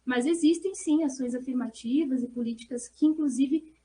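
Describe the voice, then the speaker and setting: High-pitched, mellow Brazilian woman explaining. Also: normal speed, slight background noise. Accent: Brazilian Portuguese. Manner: earnest, discursive, often checks with "né". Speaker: academic researcher and panelist. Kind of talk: explanatory answer in an academic panel discussion.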